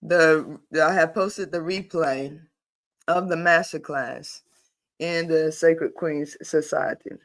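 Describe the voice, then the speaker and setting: A man hosting a casual spiritual talk on a live online session, animated and emphatic, with easy laughs, repeated phrases and rhetorical questions. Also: on the high side, slow. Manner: repeats and restarts while building a point, informal and folksy. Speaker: host of a paid spiritual membership group.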